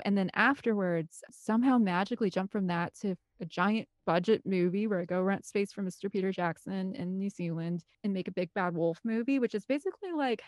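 A woman's voice: lowish voice, no echo. An American woman in her thirties from the Midwest, talking casually and enthusiastically in a rambling, hyper way as an interview guest. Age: 30s. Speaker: guest, film composer and director.

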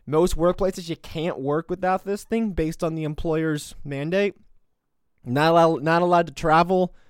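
A young man in his twenties rants through his solo podcast, sarcastic and combative, often rambling. His voice sits a bit high for a man. The recording is dry and close, with no room sound. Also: clean tone, no room sound. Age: 20s. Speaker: podcast host. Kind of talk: opinionated solo commentary.

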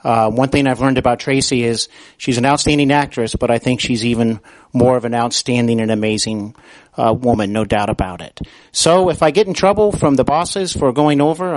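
A man's voice: mid-range voice, dry recording, low-quality recording. Man hosting a podcast, dry close sound. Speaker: podcast host. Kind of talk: interview talk and show announcements.

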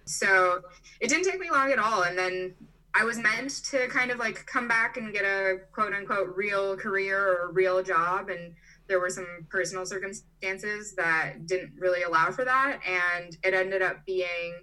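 A woman's voice: mid-range voice, a little slow, pushed and bright, far from the mic, poor audio. A young woman in her twenties from Brazil and Chicago, chatting casually as an interview guest and filling her talk with "like" and "you know". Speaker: interview guest, digital nomad.

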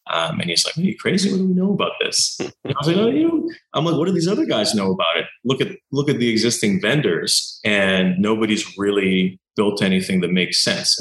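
Deep-voiced man, echoey room. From Canada. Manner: animated storyteller, acts out quoted exchanges, many "you know" fillers. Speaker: doctor and company founder. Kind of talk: conversational interview answers.

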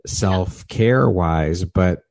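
Deep-voiced man hosting an interview. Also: drawn-out words, creaky, a little mellow. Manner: affirming, frequent "yeah" and "I love it". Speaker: podcast interviewer host. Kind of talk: supportive interview conversation.

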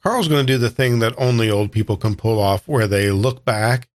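A man's voice: fairly low pitch, normal speed. A man playing a tabletop game, drolly describing what his character does. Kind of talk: improvised actual-play talk.

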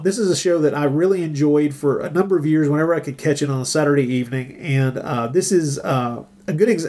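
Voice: medium pitch, darkish tone. A middle-aged podcast host chatting casually and enthusiastically about old radio dramas.

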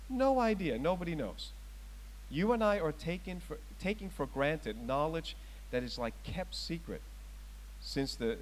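A man's voice: mid-range voice, low-quality recording. A middle-aged man preaching a sermon as pastor, emphatic and asking his congregation rhetorical questions. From Thailand. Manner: emphatic, rising loud on scripture readings and questions.

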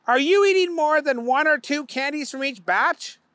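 A man voicing a stern, exasperated boss of a candy factory who scolds in a high, sharp voice. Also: lively intonation, moderate pace, dry recording, full character voice.

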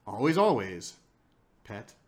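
Impassioned male podcast host. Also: takes it slowly. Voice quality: self-described monotone voice. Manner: emphatic and repetitive, with big swings in pitch and loudness. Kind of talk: impassioned solo podcast monologue. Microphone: single mic on a laptop.

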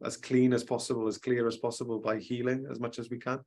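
Man, mid-pitched, rambling and casual, podcast guest. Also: little pitch movement, fast, a little mellow. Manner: rambling, many ums and restarts. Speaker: guest, spiritual mentor. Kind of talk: casual conversational interview.

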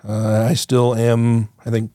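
A man's voice: mid-range voice, completely flat delivery, slow pace, mellow, no room sound, studio quality. A man co-hosting a podcast in casual chat, his voice still a bit congested and nasal, rambling, swearing freely and laughing often. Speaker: podcast co-host.

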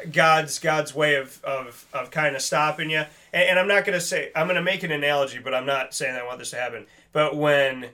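Bright, higher-voiced man, earnest talk host. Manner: earnest, rambling, emphatic. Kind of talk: casual sports talk.

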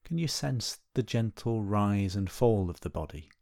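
Low-voiced man guiding a meditation. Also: animated, vocal fry, no echo, clean studio sound. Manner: gentle, unhurried instruction with pauses. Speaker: meditation instructor. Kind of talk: guided body scan meditation.

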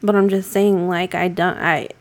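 A woman's voice: fairly low pitch, level tone, normal speed. A woman from New England, co-hosting a podcast and chatting casually, rambling with plenty of fillers and offhand swearing. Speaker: podcast co-host. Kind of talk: casual chat.

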